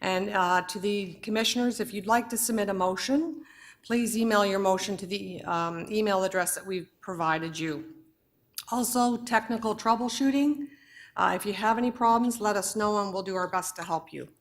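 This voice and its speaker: A hoarse woman chairing a formal board meeting in a council chamber, measured and procedural, with occasional fillers. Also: mid-range voice.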